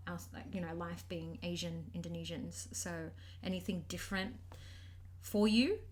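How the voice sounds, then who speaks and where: Young aussie girl hosting a podcast, lots of ums, kinda rambly. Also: mid-range voice. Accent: Australian. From Australia (Sydney). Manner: rambling, lots of fillers and restarts. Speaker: podcast host. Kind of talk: casual interview chat.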